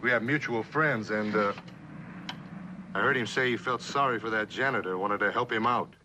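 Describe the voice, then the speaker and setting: An actor playing an insistent anonymous tipster in a 1960s TV drama, speaking in a terse, guarded way. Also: male voice, on the low side, normal speed, lightly acted. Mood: secretive. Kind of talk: 1960s television drama acting. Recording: noisy street phone booth.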